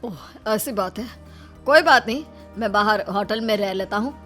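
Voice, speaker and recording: A woman's voice: mid-range voice, moderate pace, music in the background, close to an everyday voice. A woman voicing a meek, bewildered young husband in a cartoon story, speaking plaintively. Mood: puzzled, resigned.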